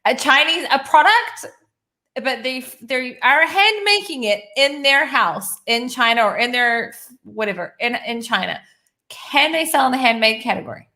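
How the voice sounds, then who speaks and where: A woman's voice: high-pitched, animated, normal speed, sharp tone. A woman from New Zealand hosting a conversational interview, thinking aloud as she works through winding questions. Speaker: host.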